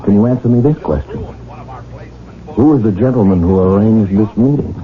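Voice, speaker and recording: An actor playing an anxious, earnest husband who narrates a mystery in a 1970s radio drama, with formal, dramatic diction. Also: male voice, on the low side, normal speed, natural voice. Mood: pressing, troubled. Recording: dull old radio broadcast, music behind.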